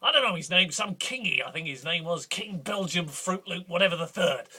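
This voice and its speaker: A man playing a boastful, belligerent duke in a rambling, bombastic comic monologue, with a husky voice. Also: high-pitched, animated, brisk, pushed and bright, exaggerated voice. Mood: blustering. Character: boastful blustering male Scottish duke, belligerent. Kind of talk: improvised comic character monologue.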